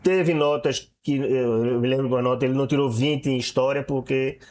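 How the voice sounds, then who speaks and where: A middle-aged Brazilian man with a Brazilian Portuguese accent, a guest writer talking in a casual conversation, animated and halting with many restarts. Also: medium pitch.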